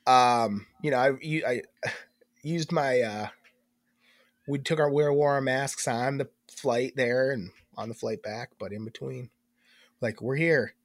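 A man's voice: mid-range voice, a little slow, dry recording, clean studio sound. A young man co-hosting a podcast in casual chat, congested and stuffed up, rambling and cutting himself off as he talks. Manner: rambling, self-interrupting, animated. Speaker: podcast co-host.

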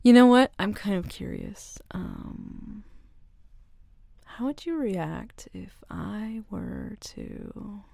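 A woman voicing a flirty, teasing tomboy co-worker in an ASMR roleplay, soft-spoken and giggly. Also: mid-range voice, wildly swooping pitch, drawn-out words, gravelly, full character voice.

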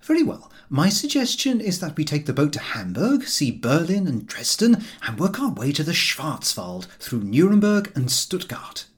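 A man's voice: fairly high pitch, big pitch swings, moderate pace, few pauses, slightly rough, voice pushed up. A man voicing a cheerful, eager bachelor in an audiobook, breezy, teasing and exclamatory. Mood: brisk. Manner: exclamatory, bouncy.

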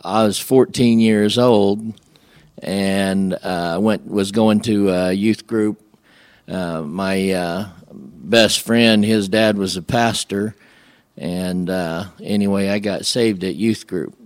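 An American man from Illinois speaking as a radio guest, telling personal stories in a casual, halting, folksy way. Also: fairly low pitch, steady tone, no room sound, studio quality. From Illinois, United States.

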